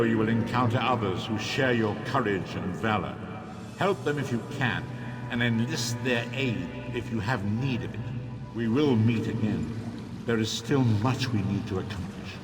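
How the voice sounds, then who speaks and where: A man's voice: medium pitch, close to an everyday voice. An actor playing a solemn elderly male prophet in a fantasy game, speaking with formal, portentous, archaic phrasing. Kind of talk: fantasy video game acting. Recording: loud music behind the voice. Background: loud music.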